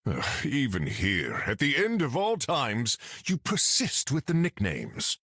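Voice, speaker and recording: A man's voice: medium pitch, huge pitch swings, a little slow, fairly bright tone, slightly rough, no room sound, clean studio sound, heavily acted. A man voicing a proud thunder god warrior in a video game, speaking in a grand, formal, theatrical way. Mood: proud, gruff. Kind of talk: video game character acting.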